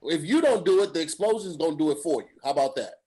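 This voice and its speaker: A man co-hosting a casual podcast, easygoing, reasoning things through out loud. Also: a little high, lively intonation, quick pace, poor audio. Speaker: podcast co-host, poses the debate question. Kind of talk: casual group chat.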